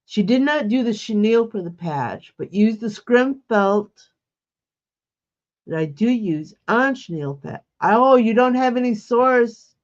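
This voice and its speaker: Woman host, stuffy with a cold, unhurried friendly chatter, slightly dull lo-fi sound. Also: a little high, expressive. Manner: chatty, greets viewers by name. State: congested with a cold. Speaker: embroidery livestream host. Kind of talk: casual livestream chat.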